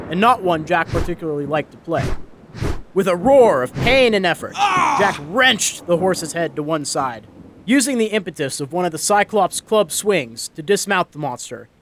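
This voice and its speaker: Animated male storyteller, higher pitched. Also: noisy background. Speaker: storyteller, narrator. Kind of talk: dramatic scripted story narration.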